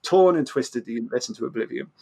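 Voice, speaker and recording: A man's voice: mid-range voice, expressive, fast talker, creaky, warm tone, slightly rough. A man explaining things as an interview guest, often stammering and repeating the start of his phrases. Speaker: physicist guest. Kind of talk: animated explanatory interview talk.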